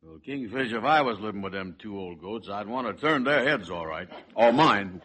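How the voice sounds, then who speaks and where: A man playing a gullible, slow-witted lodge pal in old-time radio comedy, blustering in folksy, ungrammatical speech. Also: lowish voice, expressive, bright, forceful tone. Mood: grumbling. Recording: vintage radio broadcast recording.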